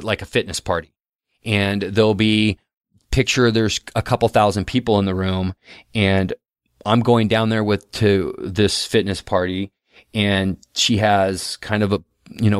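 A man's voice: fairly low pitch, dry recording, clean studio sound. A middle-aged man talking casually as a podcast guest, giving relationship advice with frequent restarts. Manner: conversational, frequent restarts and fillers.

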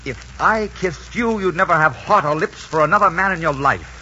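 An actor playing a suave, arrogant pirate leader in a 1940s radio drama. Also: male voice, fairly high pitch, expressive, normal speed, pushed and bright, breathy edge, full character voice. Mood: boastful, seductive. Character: swaggering, cynical pirate leader posing as respectable gentleman. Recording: muffled 1940s radio recording with music.

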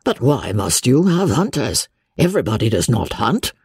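A woman voicing a mild, courteous young gentleman in a story, measured and gently teasing. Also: a bass voice, sing-song, husky, no echo, full character voice. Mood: mild, questioning.

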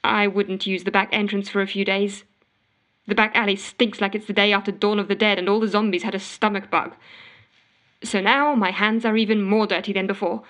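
A woman's voice: mid-range voice, level tone, fairly quick, a bit bright, lightly acted. A woman playing a frantic, exasperated woman telling her desperate story over the phone in an audio drama. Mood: queasy, desperate. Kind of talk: audio fiction monologue, told as a phone call.

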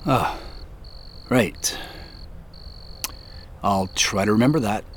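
Low-voiced, thoughtful man. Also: slow pace, a little hiss or hum. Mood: subdued, hesitant. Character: troubled younger brother, weary, thoughtful man narrating his story. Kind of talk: first-person audio drama narration and dialogue.

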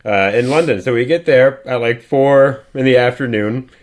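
A man's voice: mid-range voice, normal speed. Chatty young man telling a story. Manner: rambling storyteller, stumbles and restarts, laughs often. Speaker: podcast co-host. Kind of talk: casual chat. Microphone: podcast microphone.

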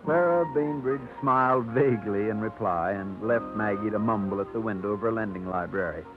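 A man giving measured, gently wry scripted narration for a radio drama. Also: fairly low pitch. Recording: tinny old radio broadcast over music.

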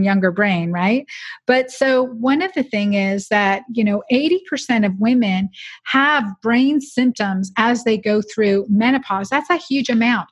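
A woman's voice: medium pitch, husky, dry recording. A woman gynecologist hosting an interview podcast, introducing her guest with effusive enthusiasm.

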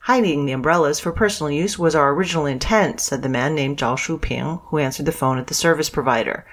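A news reader narrating scripted business stories in a measured, read-aloud style. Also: mid-range voice, a bit bright.

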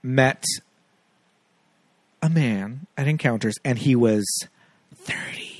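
A man's voice: medium pitch, slow pace, a little mellow, no room sound. Chatty man, dry sound. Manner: chatty storyteller, many "like" fillers. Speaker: podcast host. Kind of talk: casual film chat.

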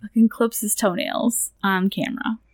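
A woman's voice: mid-range voice, steady tone, moderate pace, no room sound, clean studio sound. A woman co-hosting a podcast, chatting casually about films in a playful, sweary way. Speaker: film podcast co-host.

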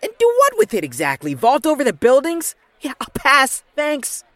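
A man's voice: extremely high pitch, huge pitch swings, moderate pace, a bit bright, dry recording, exaggerated voice. A man playing a sarcastic, exasperated young adventurer in a fantasy audio drama.

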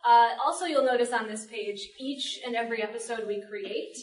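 Higher-voiced woman leading a panel, echoey. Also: brisk, recorded from a distance. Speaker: panel moderator and ensemble member. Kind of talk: informal panel talk. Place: public library panel room. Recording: roomy library panel, off-mic.

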